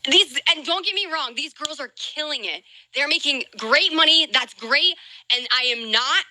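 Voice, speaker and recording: A woman's voice: high voice, bright, forceful tone, low-quality recording. A woman livestreamer talking casually and earnestly to her viewers, hesitating and repeating herself. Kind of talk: casual opinion talk to stream viewers.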